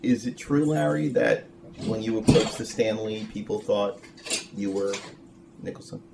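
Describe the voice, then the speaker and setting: Creaky-voiced male interviewer in loud noise. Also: lowish voice, unhurried. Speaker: podcast interviewer. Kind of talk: casual interview questions. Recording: loud noise, clicks and clattering dishes.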